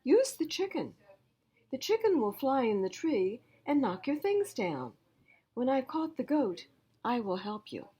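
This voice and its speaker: A busy farm relative from a storybook, acted by a woman reader, handing out practical orders emphatically in a raised, very lively voice with some huskiness. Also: high voice, a little slow, breathy edge, voice pushed up, heavily acted. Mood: busy, helpful. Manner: emphatic, instructive.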